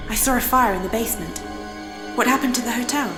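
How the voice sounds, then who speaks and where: An actress playing a calm female medium in a horror game, questioning a spirit in a measured, gentle way. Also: fairly high pitch, close to an everyday voice. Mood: calm, probing. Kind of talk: dramatic horror game acting. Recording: echoey room, faint music behind.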